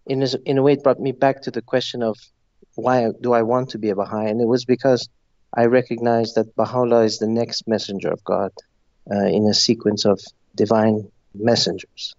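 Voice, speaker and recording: A middle-aged man from Zambia speaks as an interview guest, talking through his answers in a thoughtful, earnest manner. His voice is of middle pitch. The recording is dry and slightly dull, as if the top end were cut off. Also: little pitch movement, brisk, a little mellow, no room sound.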